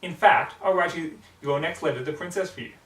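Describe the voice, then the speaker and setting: A man's voice: on the high side, fairly quick, fairly bright tone, echoey room, far from the mic, pitched above the performer's own voice, natural voice, low-quality recording. A man voicing a cocky young female pegasus with a blunt, defiant manner in a story reading.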